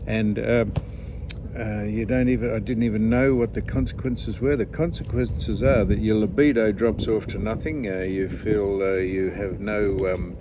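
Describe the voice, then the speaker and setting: A man in his seventies with a lowish voice talks in a loose, halting ramble, stopping and restarting with plenty of fillers and slipping in dry jokes. Steady boat and water noise runs loudly behind him outdoors. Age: 70s. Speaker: vlog host. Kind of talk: casual interview chat.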